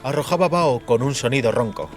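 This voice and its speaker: A man's voice: medium pitch, animated, fairly quick, faint music behind. A man reading scripted fantasy narration as an audiobook narrator.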